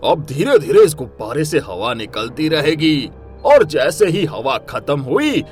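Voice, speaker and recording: Guy doing a villain moon for a kids cartoon, mocking and menacing, really animated high voice. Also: unhurried, faint music behind. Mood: gloating menace. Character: gloating evil magical moon, male, bent on destruction. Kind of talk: animated moral story voice acting.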